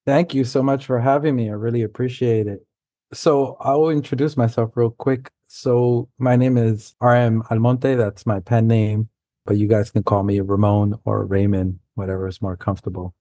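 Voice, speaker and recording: A man from New York City whose first language is Spanish, telling stories as a guest in a relaxed, rambling way with frequent self-corrections. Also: medium pitch, moderate pace, no room sound. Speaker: guest, science fiction author. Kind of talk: casual storytelling in an interview.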